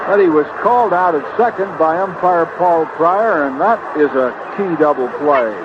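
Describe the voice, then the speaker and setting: A man's voice: fairly high pitch, moderate pace, highs cut off. An American man calling baseball play-by-play live on a vintage radio broadcast. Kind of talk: live radio sports commentary. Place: outdoor ballpark broadcast booth. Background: loud noise and outdoor ambience.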